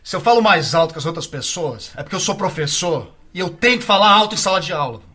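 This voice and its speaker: Guy acting as a worked-up dad, bright tense voice, swings up and down a lot. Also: high voice, lively intonation, fast. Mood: defensive. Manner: insistent, repeating the name over and over. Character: exasperated father pleading at a locked door. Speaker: father and teacher.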